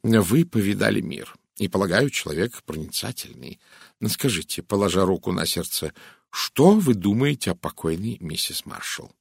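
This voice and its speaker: A man voicing a polite, methodical little Belgian detective in an audiobook, courteous, measured and deliberate. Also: deep voice, animated, creaky, slightly rough, no room sound, studio quality, exaggerated voice. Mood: polite, probing. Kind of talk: audiobook character voice.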